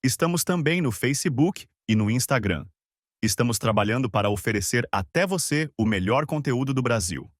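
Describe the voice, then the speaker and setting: A male announcer with a mid-pitched voice reading promotional narration. The sound is dry and close, with no room echo. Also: lively intonation, moderate pace.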